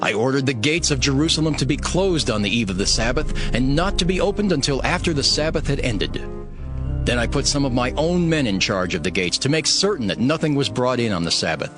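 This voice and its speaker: A man's voice: mid-range voice, natural voice. A man playing a stern, devout ancient governor, narrating his reforms in an earnest, emphatic, solemn dramatized scripture style. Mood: stern, resolute. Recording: music bed behind the voice. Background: music.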